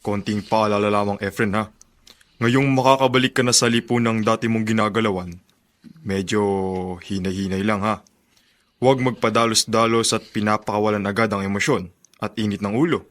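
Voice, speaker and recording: Low-voiced, fatherly prison warden played by an actor. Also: fairly even intonation, a bit bright, lightly acted. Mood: fatherly, cautioning. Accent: Filipino. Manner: measured, advising. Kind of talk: Filipino radio drama acting.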